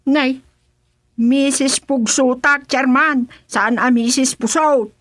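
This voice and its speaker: A pleading, flustered wife in an Ilocano radio comedy. Her fairly high voice moves slowly, with hesitant, whining protests. She speaks with an Ilocano Filipino accent, recorded dry. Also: fairly even intonation, no room sound.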